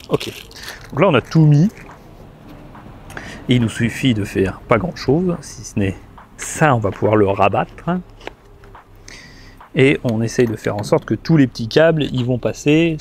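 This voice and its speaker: A man's voice: medium pitch, a little mellow, a little hiss or hum. A man giving a casual hands-on product tutorial, chatting informally to the viewer and thinking aloud as a gear reviewer.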